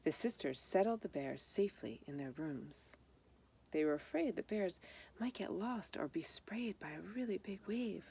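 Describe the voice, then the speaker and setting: Low-pitched woman reading a children's storybook. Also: animated, moderate pace, vocal fry, warm tone, slightly rough. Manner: simple, explanatory, addressing children directly. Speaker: storybook reader. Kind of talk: reading a children's picture book aloud with informal asides to young listeners.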